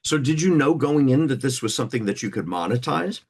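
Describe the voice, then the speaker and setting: A man hosting a casual interview, speaking in a halting, hesitant way. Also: mid-range voice, lively intonation, a little mellow, breathy edge. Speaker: interview host.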